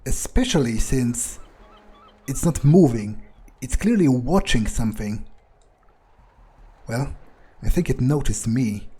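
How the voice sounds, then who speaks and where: A man with a soft-edged, husky voice talks his way through a video game in character. He muses to himself in a relaxed tone, pausing as he thinks and cursing freely. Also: medium pitch. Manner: musing, hesitant, profane. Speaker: let's player role-playing in character. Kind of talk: improvised in-character game commentary, thinking aloud.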